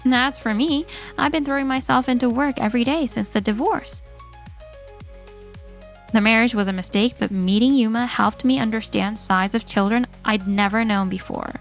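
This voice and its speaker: A woman's voice: fairly high pitch, normal speed, soft background music. A woman reading scripted first-person story narration for a manga dub.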